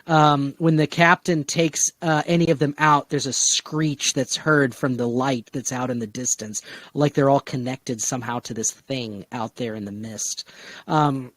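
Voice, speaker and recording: A man casually brainstorming on a discussion podcast, talking in a halting way with vague, trailing asides. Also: medium pitch, fairly even intonation, a bit bright, no room sound. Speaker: podcast co-host pitching story ideas.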